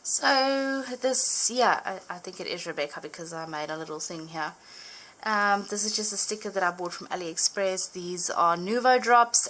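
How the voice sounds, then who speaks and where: A woman's voice: medium pitch, background noise. Chatty woman, Afrikaans native speaker. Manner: chatty, filler-heavy, gently enthusiastic. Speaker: Bible journaling vlogger. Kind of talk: casual show-and-tell narration. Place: indoors at a table, hands over an open book.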